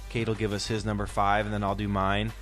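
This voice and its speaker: Podcast host: man with a lowish voice, casual and explanatory, encouraging his co-hosts along. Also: monotone, fast talker, a bit bright, faint music behind.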